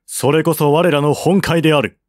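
A man voices a proud general loyal to a fallen dynasty in a historical audiobook. The speech is stern and formal, declaimed in a fairly high male voice. The sound is dry and close. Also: fairly even intonation, moderate pace, darkish tone, no room sound, lightly acted. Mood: firm, resolute.

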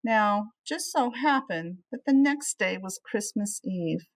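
A middle-aged woman reading a children's storybook aloud expressively, with measured, deliberate phrasing, as a teacher would. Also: mid-range voice, animated.

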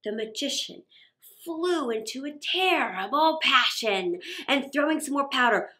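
A middle-aged woman narrates a storybook for children. Her voice sits fairly high and moves widely in pitch. The delivery is dramatic and highly expressive. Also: unhurried. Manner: theatrical, expressive, with gasps and sound words. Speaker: storyteller reading aloud to children.

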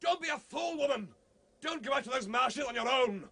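An actor playing a haughty, agitated young gentleman in a radio drama, giving urgent, imperious commands. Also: very high voice, lively intonation, brisk, very noisy. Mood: urgent, commanding. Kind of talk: 1970s radio drama acting.

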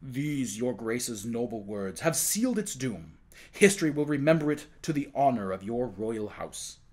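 A man's voice: medium pitch, very animated, measured pace, darkish tone. A man voicing a grave, courtly nobleman advising his king in an audiobook. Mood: gratified. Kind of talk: dramatized audiobook reading.

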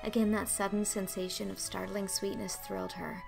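A woman's voice: mid-range voice, little pitch movement, normal speed, gravelly, low-quality recording. A young woman narrates a classic storybook with expression. Her reading is scripted and sits over faint music. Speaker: audiobook narrator.

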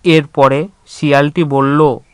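A man's voice: on the high side, level tone, slow, clear, resonant tone, no room sound. A man narrating a scripted children's story as its storyteller. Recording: loud background noise, animal sounds.

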